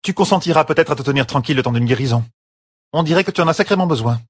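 A man's voice: a little high, animated, very fast, husky, dry recording, studio quality, pitched above the performer's own voice. A man voicing a haughty, stern woman healer in an audiobook, with a curt, imperious and formal manner. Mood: sharp, impatient.

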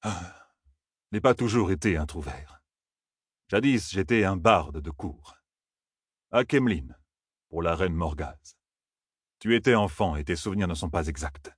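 A weary old gleeman speaks low and soft, as a man plays him in an audiobook. His husky voice is patient and soothing, turning firm when scolding. The sound is close and dry. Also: animated, a little slow, pauses often, no echo, heavily acted.

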